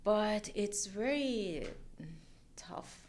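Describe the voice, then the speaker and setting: A woman from Iran with a Persian accent, chatting as a podcast guest, laughing often and speaking in halting, non-native phrasing. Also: mid-range voice, a little mellow, slight background noise. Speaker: podcast guest, mortgage loan officer. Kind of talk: casual interview chat.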